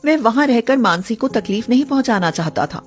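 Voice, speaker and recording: A woman's voice: on the high side, lively intonation, brisk, faint music behind. A woman telling a scripted story as its narrator.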